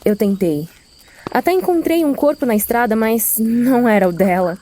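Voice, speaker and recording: A woman playing a gentle young tiefling harpist in an audio drama, speaking softly and wistfully with some hesitation. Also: on the high side, expressive, moderate pace, slight background noise, close to an everyday voice. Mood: sad, wistful. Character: gentle young female tiefling harpist missing her mother.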